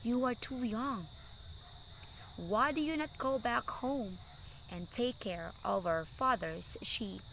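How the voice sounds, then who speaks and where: A woman's voice: on the high side, lively intonation, takes it slowly, vocal fry, a bit bright, a little hiss or hum, voice pushed up, full character voice, low-quality recording. A woman voicing a stern, protective older brother who scolds in a children's story. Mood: scolding.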